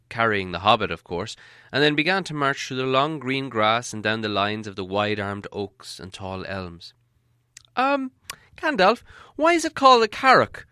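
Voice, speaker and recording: A man narrating an audiobook in a steady, measured storytelling manner. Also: lowish voice, clean tone, dry recording, studio quality. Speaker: audiobook reader.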